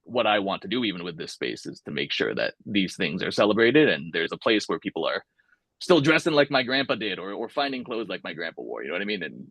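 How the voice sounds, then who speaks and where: A man's voice: low voice, sing-song, fast talker, fairly bright tone, slightly rough, dry recording. A young man from California, talking casually and thoughtfully in an oral history interview, with many fillers and restarts.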